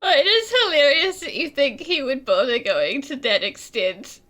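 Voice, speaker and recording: A woman's voice: high-pitched, lively intonation, normal speed, bright, forceful tone. A woman playing a playful, teasing young traveler, easily amused, in video game voice-over. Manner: teasing, giggly.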